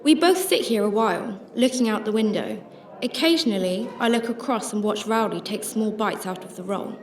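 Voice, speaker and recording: A woman's voice: medium pitch, moderate pace, a crowd in the background, close to an everyday voice. A woman acting a troubled, secretive shelter worker who narrates her story in a radio drama, emotive and hesitant in dialogue. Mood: reflective. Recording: roomy sound with music behind.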